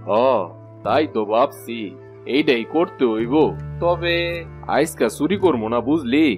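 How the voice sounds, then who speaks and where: A man's voice: a little high, unhurried, a little mellow, soft background music, close to an everyday voice. A man voicing a scheming, boastful village thief in a cartoon tale. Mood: sly. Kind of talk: cartoon fairy tale acting.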